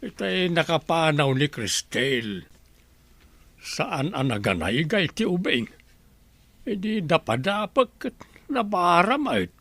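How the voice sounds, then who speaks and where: A man acts out a protective older father-in-law in a radio drama. He talks slowly and emphatically, in a bright, forceful voice, scolding with big swings in pitch. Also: sing-song, no echo, exaggerated voice. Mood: matter-of-fact.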